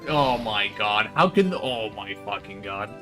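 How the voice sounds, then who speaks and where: A man's voice: fairly low pitch, expressive, a little slow, over music. A man doing casual game stream commentary, swearing freely and ranting in exasperation as he plays. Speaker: streamer playing and reading a visual novel aloud.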